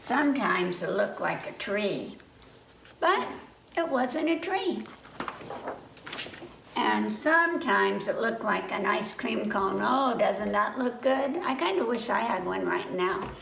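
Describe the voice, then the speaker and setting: Female storytime host, lively pitch swings, unhurried delivery, chatty questions to young listeners, roomy sound. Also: creaky, far from the mic. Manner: animated, asks listeners questions, chatty asides. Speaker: children's library storytime host. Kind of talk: reading picture books aloud to children. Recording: echoey room, faint background noise.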